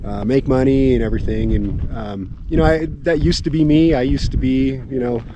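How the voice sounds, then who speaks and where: A man in his forties walking his desert land and talking casually to a handheld camera, with a relaxed, rambling manner full of fillers. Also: medium pitch, normal speed, lo-fi sound, background noise. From California. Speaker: homestead vlogger. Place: outdoors on open high desert land. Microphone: camera held at arm's length.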